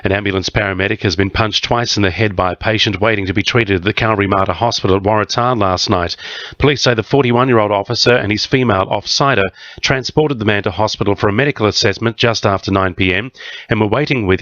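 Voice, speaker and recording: Low-voiced Australian man reading radio news. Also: a bit bright, dry recording, highs cut off. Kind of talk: scripted radio news bulletin.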